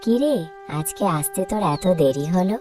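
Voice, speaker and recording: A woman's voice: medium pitch, sing-song, moderate pace, a little mellow, faint music behind, lightly acted. A woman voicing a caring, cautious older ghost mother in a cartoon fairy tale. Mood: concerned, coaxing. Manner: motherly, coaxing.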